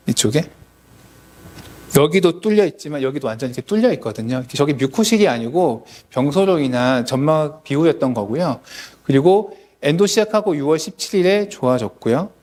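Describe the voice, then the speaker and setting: A man's voice: fairly high pitch, a little mellow. A man, a dentist, lecturing colleagues at a symposium in a conversational, explanatory manner.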